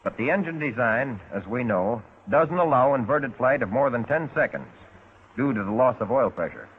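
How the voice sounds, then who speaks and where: An American man narrating a 1940s instructional film in a measured, precise, instructive way, as a flight instructor would. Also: mid-range voice, expressive, slightly rough. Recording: tinny narrow-band 1940s film soundtrack.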